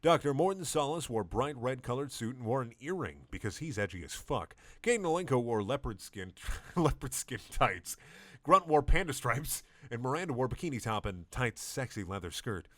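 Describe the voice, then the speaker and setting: Deadpan young man reading a comedy story. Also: mid-range voice, normal speed, dry recording, clean studio sound. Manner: deadpan reading with frequent stumbles. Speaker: reader of a comedic fan story.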